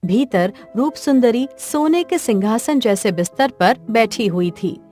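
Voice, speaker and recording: A woman's voice: on the high side, expressive, unhurried, a little mellow. A woman reading scripted narration for a cartoon fairy tale as the storyteller. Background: music.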